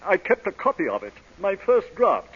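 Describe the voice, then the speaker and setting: A man's voice: high-pitched, huge pitch swings, moderate pace, breathy edge, exaggerated voice. A man acting a pompous, flustered older suitor in an old radio comedy, stammering and hesitant. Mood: self-righteous. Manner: hesitant, stammering, self-righteous. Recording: muffled vintage radio broadcast.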